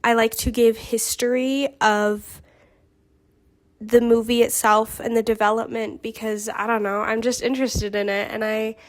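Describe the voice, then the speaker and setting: Female solo host, slightly high voice, recovering from a cold; casual, earnest, chatty delivery. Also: little pitch movement, moderate pace. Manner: chatty, earnest, reads facts with small fillers and restarts. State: getting over a cold. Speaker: YouTube host. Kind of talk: casual vlog narration of film history.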